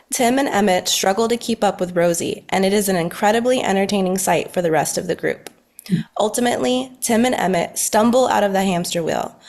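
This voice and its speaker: A woman's voice: mid-range voice, a little mellow. A woman reading scripted narration as the narrator at a table read.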